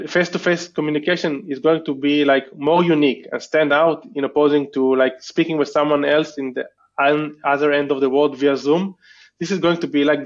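A man's voice: a little high, muffled recording. A man from Israel, a guest entrepreneur, chatting casually and thoughtfully with many restarts in an interview.

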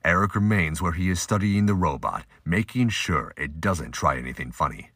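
A man's voice: low-pitched, animated, normal speed, fairly bright tone, dry recording, studio quality. A man giving scripted, audiobook-style narration for an audio drama.

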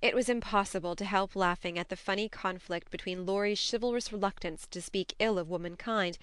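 A woman reading a classic novel aloud as an audiobook narrator, in an expressive literary storytelling style. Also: mid-range voice, a little fast, clean tone, no echo.

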